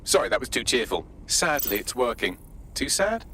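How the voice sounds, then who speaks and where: Snarky ai, bright voice, faint game noise behind. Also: male voice, mid-range voice, very animated, normal speed. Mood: wry. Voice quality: dry, arch wit. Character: dry, sarcastic male AI assistant.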